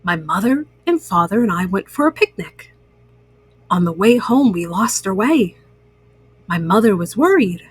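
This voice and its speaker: A woman's voice: medium pitch, sing-song, unhurried, husky, voice pushed down. A woman voicing a playful, teasing male frog who tells spooky tales in a children's storybook. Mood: storytelling.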